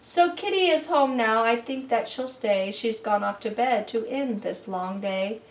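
A middle-aged woman reading a rhyming picture book aloud to children in an expressive, sing-song way. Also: on the high side, unhurried. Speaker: read-aloud storyteller for children. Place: room at home beside a Christmas tree. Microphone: camera mic at some distance. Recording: roomy, distant camera recording.

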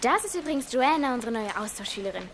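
Pushy schoolgirl, high lively voice. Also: fast talker, a little hiss or hum. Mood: persuasive. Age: teenager. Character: pushy, scheming teenage schoolgirl wanting a room swap. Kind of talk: naturalistic TV drama acting. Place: school hallway, indoors.

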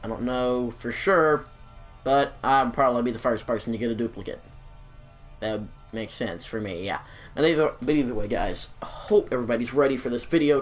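A young man with a stuffed-up nose and throat, chatting casually while playing a video game, rambling and apologizing as he goes. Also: medium pitch. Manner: rambling, self-deprecating, apologetic. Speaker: gaming YouTuber. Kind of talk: casual gameplay commentary.

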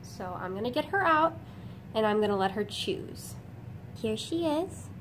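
A young woman with a nasal, stuffed-up voice chats her way through a makeup routine. She rambles, with plenty of fillers, and her voice sits a little high. She was recorded on a camera mic in a home bedroom, with some faint background noise. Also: lively intonation, measured pace, rough recording. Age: young adult. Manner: chatty, rambling with many fillers. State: sick, nasal. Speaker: beauty vlogger. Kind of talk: casual makeup tutorial chat.

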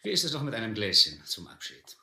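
An actor playing a guarded rogue sea captain in a radio drama, wary and inclined to bluster. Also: male voice, on the low side, sing-song, quick pace, creaky, sharp tone, heavily acted. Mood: smooth, guarded. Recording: roomy old radio-play recording.

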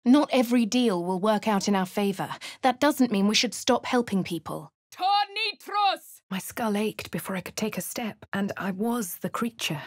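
An actress with a posh English accent voicing a plucky female adventurer hero for a video game, crisp and well-spoken, close to a studio mic. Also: mid-range voice, no room sound, natural voice. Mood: incanting. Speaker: player character.

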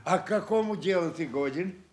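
A man's voice: sits high, brisk, darkish tone, reverberant, natural voice. A man acting an imperious, impatient old king in a theatrical radio drama, abrupt and demanding. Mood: questioning, commanding.

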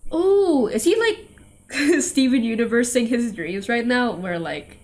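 A woman's voice: on the high side, animated, a little slow, a little mellow. A young woman streamer reading game text aloud casually and breaking off with chatty side comments. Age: young adult. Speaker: streamer playing a visual novel. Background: game music.

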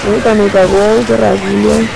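A woman's voice: mid-range voice, level tone, measured pace, vocal fry, background noise. A young Chilean woman in casual gaming voice chat, grumbling in slang. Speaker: video game player.

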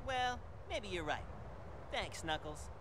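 A man's voice: high-pitched, lively intonation, slow, background noise. A man voicing a breezy, cocky teenage animal hero in a video game, casual and carefree. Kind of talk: video game cutscene acting.

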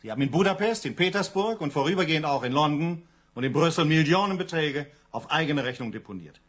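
A stern syndicate chief in an old radio drama. He speaks in a level, slightly husky voice and sets his terms with clipped finality. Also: on the high side, expressive, fast talker, a bit bright. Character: stern male syndicate chief, cold, unyielding.

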